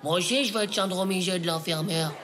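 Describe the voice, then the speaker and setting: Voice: mid-range voice, fast, over a noisy crowd, natural voice. An actor voicing a cheeky ten-year-old boy in a cartoon, whiny, jealous and theatrical, mangling big words. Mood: jealous, indignant. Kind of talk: French animated comedy acting.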